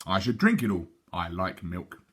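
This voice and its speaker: A man's voice: low-pitched, big pitch swings, moderate pace, fairly bright tone, slightly rough, heavily acted. A man voicing a small, determined girl who is stubborn, self-assured and quaintly prim, as in a storybook. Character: determined, matter-of-fact small girl with farming plans.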